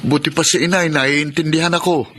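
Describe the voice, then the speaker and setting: A man's voice: on the high side, steady tone, brisk, pushed and bright, slight background noise. A man playing an eager, boyish young son in Filipino radio drama. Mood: eager, coaxing.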